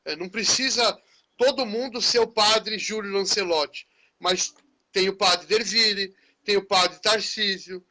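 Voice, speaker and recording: A man's voice: high-pitched, a little slow, sharp tone, low-quality recording. A man who is a teacher, hosting a live conversation in a chatty, friendly way. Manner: chatty, friendly, often tags phrases with "né". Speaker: teacher, live-stream host. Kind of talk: casual conversation in a live stream.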